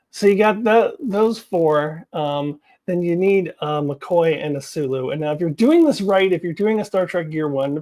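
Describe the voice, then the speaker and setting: A woman with a fairly low-pitched voice talks off the cuff as an online host. She is opinionated and emphatic, giving her commentary in a loose, conversational way. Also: darkish tone. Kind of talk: casual unscripted commentary.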